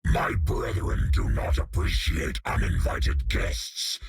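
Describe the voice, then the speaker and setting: An actor voicing a menacing alien symbiote monster in a video game, with a hissing guttural rasp and ominous formal speech. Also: male voice, sits low, takes it slowly, no echo, full character voice. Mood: grim. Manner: ominous, formal, calls itself "we". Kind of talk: video game character lines.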